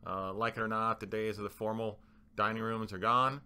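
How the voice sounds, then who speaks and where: Male manager for presentation voice-over: bright, forceful, smooth tone, explanatory and somewhat halting. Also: on the low side, level tone. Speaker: club manager presenting renovation plans.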